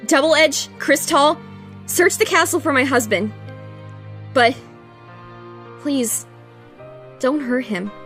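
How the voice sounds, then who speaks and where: A woman as high-voiced, gracious pony princess. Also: expressive, slow, pauses often, a bit bright, faint music behind, heavily acted. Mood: urgent, pleading. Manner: polite, earnest, pleading. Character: gracious, worried pony princess, devoted wife. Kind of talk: fan audio drama acting.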